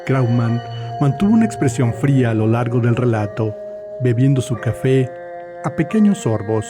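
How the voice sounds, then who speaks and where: A man's voice: mid-range voice, animated, normal speed. A man narrating scripted, dramatic fiction as part of an audiobook. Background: background music.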